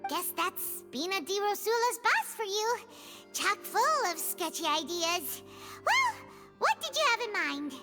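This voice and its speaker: A woman voicing a tiny, excitable companion creature in a game, frank and quick to object. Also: very high-pitched, full character voice. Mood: wry. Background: loud game music.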